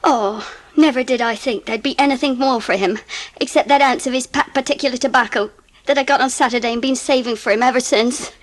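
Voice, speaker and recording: An actress playing a homely, scolding porter's wife with a working-class English accent and a no-nonsense manner, in a dramatised audiobook. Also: female voice, a little high, brisk, lightly acted. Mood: fond, excited.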